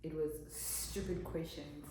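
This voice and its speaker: Young South African woman guest, blunt storyteller, lower voice, faint noise behind. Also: fairly even intonation, takes it slowly, warm tone. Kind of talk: casual chat. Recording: echoey room with faint noise.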